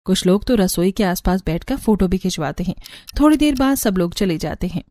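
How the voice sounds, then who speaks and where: A woman's voice: mid-range voice, expressive, brisk, dark tone, dry recording, studio quality. A woman narrator reading a scripted story for a cartoon.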